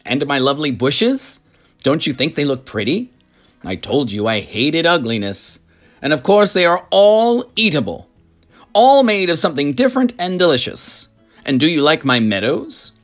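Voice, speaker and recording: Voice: mid-range voice, big pitch swings, moderate pace, soft background music, pitched above the performer's own voice, lightly acted. A narrator voicing a flamboyant, boastful man who owns a storybook factory, theatrical and full of exclamations. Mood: delighted, boastful.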